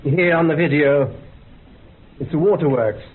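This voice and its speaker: An actor playing a sober, insistent colleague in a radio drama, on a muffled recording. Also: male voice, on the high side, measured pace, natural voice. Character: sober colleague debunking a friend's delusion. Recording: echoey, muffled, faint hiss.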